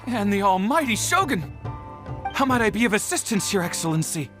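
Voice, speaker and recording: Woman playing a lively, flustered young servant. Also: medium pitch, brisk, music in the background, natural voice. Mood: eager, respectful. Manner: formal, hesitant, eager to please. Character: eager, deferential, flustered young servant of a noble house. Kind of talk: video game character acting.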